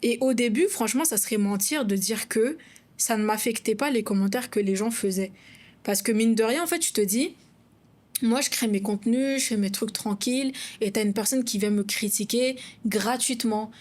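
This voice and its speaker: A woman's voice: fairly high pitch, normal speed, darkish tone. A young woman from Madagascar with a Malagasy accent, co-hosting a casual podcast, speaking frankly and outspokenly in a conversational way. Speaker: podcast co-host, content creator.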